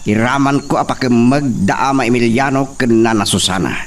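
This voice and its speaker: A man's voice: medium pitch, moderate pace, natural voice. A man with an Ilocano accent playing a ruthless, scheming, hot-tempered young landowner's son in an audio drama, menacing and domineering. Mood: coldly menacing. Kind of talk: radio-style Ilocano drama acting. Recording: distant mic, noisy, chirping crickets behind.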